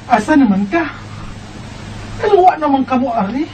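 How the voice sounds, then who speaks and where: A woman's voice: high voice, animated, normal speed, a little hiss or hum, poor audio. A woman playing a secretive mother in a radio drama who warns and orders her children about. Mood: bossy warning. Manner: hushed, warning and bossy. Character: secretive, sharp-tongued young mother.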